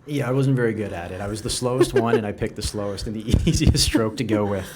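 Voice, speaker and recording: Male podcast host, lowish voice, easygoing interviewer, faint hiss behind. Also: fast, a little mellow. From Canada. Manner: friendly, chatty questions with fillers. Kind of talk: conversational interview.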